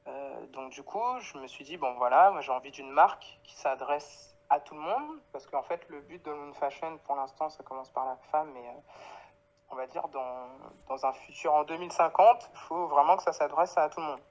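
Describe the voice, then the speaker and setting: A woman's voice: sits low, normal speed, dull audio. A woman from Paris, answering casually in an interview, feeling her way through sentences with frequent self-corrections. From Paris, France, of Guadeloupean family. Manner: hesitant, self-correcting, informal, trailing phrases. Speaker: interview guest, founder of a clothing brand.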